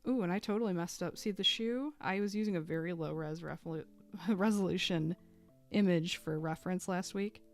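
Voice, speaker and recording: A woman chatting casually while she draws on a live stream, thinking aloud with fillers and little laughs, a bit hoarse as she loses her voice. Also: fairly low pitch, moderate pace, faint music behind. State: losing her voice.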